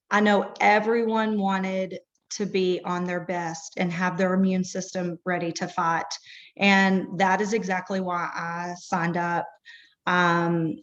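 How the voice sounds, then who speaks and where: A hoarse woman in her thirties, her voice half gone, talks through a personal testimonial at an unhurried, drawn-out pace. She fills her gaps with frequent ums. The recording is dull and lo-fi. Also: medium pitch. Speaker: product distributor.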